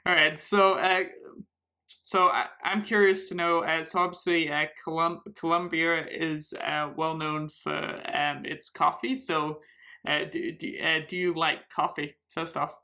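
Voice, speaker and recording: A man's voice: a little high, flat delivery, measured pace, bright, forceful tone. A man hosting a casual tea podcast interview, upbeat and chatty, often stammering and restarting his questions. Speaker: podcast host.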